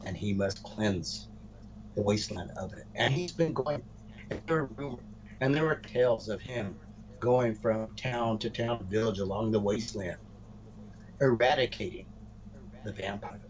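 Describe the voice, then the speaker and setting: A man co-hosting a casual wrestling storyline chat, speaking haltingly and often repeating words as he restarts phrases. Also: fairly low pitch, a crowd in the background. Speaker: co-host of a wrestling podcast. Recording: muffled, noisy room recording.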